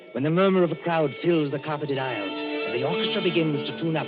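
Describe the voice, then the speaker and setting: A man introducing and narrating an old radio suspense drama in a measured, ominous manner. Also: mid-range voice. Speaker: announcer and narrator. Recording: dull old radio recording with loud music.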